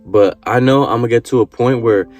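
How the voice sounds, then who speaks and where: A man's voice: medium pitch, brisk, darkish tone, faint music behind. A man in his early twenties giving an earnest motivational talk to camera, repeating his points and swearing casually. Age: 20s. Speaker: vlogger.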